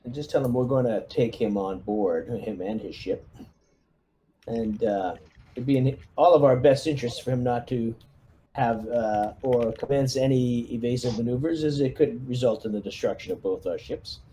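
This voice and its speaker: A man with a dark, relaxed voice talks through plans as the leading player in a tabletop game. He speaks haltingly and casually at a middling pitch. There is slight noise behind him. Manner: halting, frequent throat-clearing.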